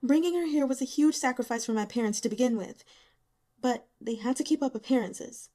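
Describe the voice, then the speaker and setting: A woman's voice: sits high, fairly quick, warm tone, lightly acted. A woman voicing a bitter, exhausted young female student in a novel, pained and sarcastic in manner. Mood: resentful.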